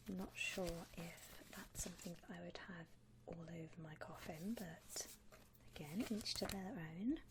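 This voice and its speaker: A woman playing a gentle vampire coffin saleswoman in a whispered ASMR roleplay, unhurried, with hesitant pauses and soft hums. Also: fairly low pitch, steady tone, background noise. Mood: soft, confiding. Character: softly whispering female vampire coffin saleswoman, attentive and discreet. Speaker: ASMR performer playing a shop consultant.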